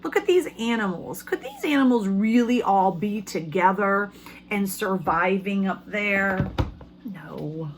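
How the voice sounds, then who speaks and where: A woman speaking as an elementary school teacher, reading aloud to children in simple, expressive language and putting questions to them. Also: mid-range voice, unhurried, slight background noise, rough recording.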